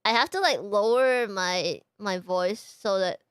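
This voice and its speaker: A young woman chatting casually on a live stream, bubbly and halting, with lots of fillers and restarts. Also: mid-range voice, a bit bright, clear, resonant tone, dry recording, studio quality.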